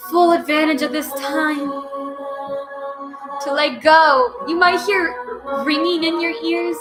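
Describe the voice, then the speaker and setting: A woman leading a guided breathwork session, coaching slowly and soothingly, with repeated prompts and audible sighing breaths. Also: high-pitched. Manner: slow, soothing, repetitive coaching with loud breathing sighs. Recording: music playing behind.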